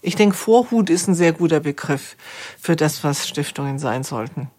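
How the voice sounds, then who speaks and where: A woman speaking as a foundation executive in a considered interview, earnest, measured and articulate. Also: sits low, expressive, darkish tone.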